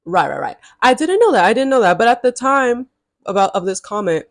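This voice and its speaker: A woman's voice: fairly high pitch, animated, fairly quick, dry recording, clean studio sound. A woman in her early twenties telling a storytime to her viewers in a chatty, sassy way, with frequent direct asides. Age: early 20s. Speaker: vlogger. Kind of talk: casual storytime to camera.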